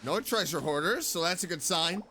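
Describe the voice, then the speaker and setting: A man's voice: a little high, expressive, moderate pace. A man giving casual gameplay reaction commentary as a streamer. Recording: commentary over loud game music.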